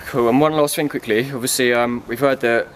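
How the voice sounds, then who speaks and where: A man's voice: medium pitch, steady tone, fairly quick. A man asking questions casually as an interviewer. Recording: vehicle noise behind.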